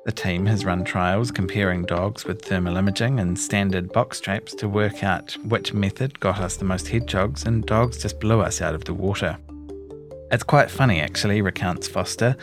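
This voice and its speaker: A man with a New Zealand accent reads a scripted long-form news story in a measured, articulate storytelling delivery. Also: sits low, over music. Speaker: reporter reading his own long-form article. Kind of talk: scripted narration of a feature story.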